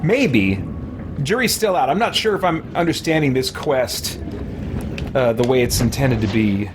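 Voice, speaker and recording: A man's voice: medium pitch, expressive, a little slow. Man musing aloud over noisy gameplay. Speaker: gaming streamer. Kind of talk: casual let's play commentary. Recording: game sounds behind the voice. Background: video game sound.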